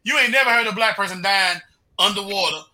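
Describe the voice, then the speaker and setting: A man with a very bright, forward voice that sits high for a male speaker. He talks casually as a podcast panelist, in loose slang full of little check-in phrases. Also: fast talker. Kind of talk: casual chat.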